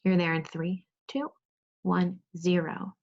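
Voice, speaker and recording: A woman teaching second grade over a video call, reading aloud, giving clear instructions and prompting pupils with questions. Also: lowish voice, level tone, takes it slowly, darkish tone. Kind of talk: interactive read-aloud lesson on video call. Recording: dull, muffled video call audio.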